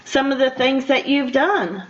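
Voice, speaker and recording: An older woman hosting a casual radio interview in a chatty, conversational way, quick to laugh. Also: high voice, animated. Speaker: radio show host. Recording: dull, lo-fi radio interview audio.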